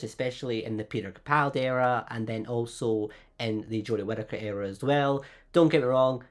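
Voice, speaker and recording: A man hosting his own channel, talking through fan theories in an upbeat, chatty way while he has a cold. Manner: upbeat, chatty, often rising in pitch. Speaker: YouTube channel host. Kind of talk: fan theory video commentary.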